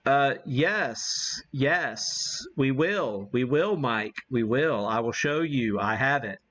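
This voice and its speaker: A man's voice: medium pitch, expressive, slow pace, fairly bright tone, lo-fi sound. A man hosting a ham radio livestream, chatting casually in a rambling, self-deprecating way as he demonstrates. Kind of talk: casual live-stream chat and demonstration.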